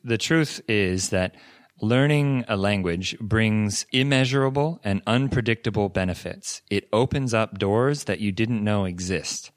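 A man's voice: fairly low pitch, a little slow, no echo, studio quality. A young man co-hosting a podcast in a casual chat, earnest and encouraging, often restarting his sentences. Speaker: podcast co-host.

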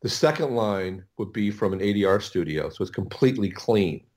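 Middle-aged male, low voice, producer being interviewed; rambling, stop-start delivery with repeated words. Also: lively intonation, moderate pace, darkish tone. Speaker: audio producer, interview guest. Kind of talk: casual interview storytelling.

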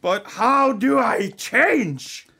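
A man's voice: extremely high pitch, animated, extremely slow, creaky, pushed and bright, slightly rough, full character voice. A man from Norway playing a sensitive young rock band member in an amateur radio play, earnest and confessional. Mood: vulnerable, confessing.

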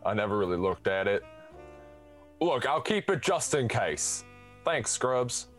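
A bumbling young space cop sidekick, earnest and wide-eyed, his mid-pitched voice bouncing all over, as a performer acts him out. Faint music plays beneath the comedic radio play. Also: big pitch swings, measured pace. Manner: earnest, naive, eager. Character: goofy, childlike male police sidekick.

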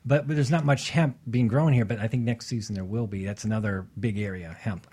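A man's voice: fairly low pitch, steady tone, brisk, dark tone, husky. A man who is a guest on a casual radio talk show, telling a personal story in an easygoing, chatty way. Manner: anecdotal storytelling, laughs easily.

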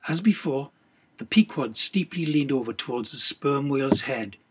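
A man reading literary narration for an audiobook in a husky, gravelly voice. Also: mid-range voice, animated, moderate pace, background noise.

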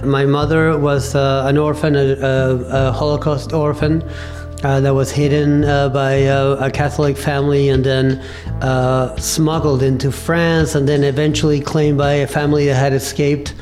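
A man in his fifties from Argentina, telling his life story in an interview with a thoughtful, halting manner and many pauses. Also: medium pitch, level tone, a little mellow, faint music behind. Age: 50s. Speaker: interview guest, cafe owner. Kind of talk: reflective personal storytelling.

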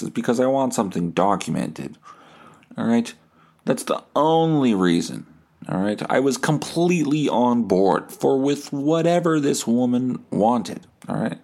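A man with a fairly low voice hosts a casual comedy podcast. He tells his story in a self-deprecating, sweary way, acting out both sides of text conversations as he goes. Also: vocal fry, darkish tone. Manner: foul-mouthed, self-deprecating. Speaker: podcast host.

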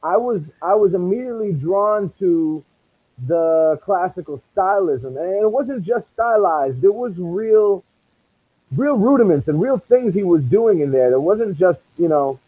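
A man's voice: high voice, lively intonation, unhurried, breathy edge, no room sound, narrow, tinny audio. A man with a Boston accent, a musician answering interview questions in a conversational way. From Massachusetts, USA. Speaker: musician guest.

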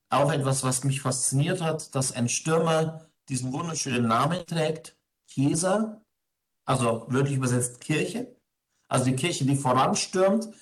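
A man speaks as an interview guest, with a mid-range voice. He talks conversationally and thoughtfully, often pausing as he shapes his phrases. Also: mid-range voice. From grew up in Ingolstadt, Germany.

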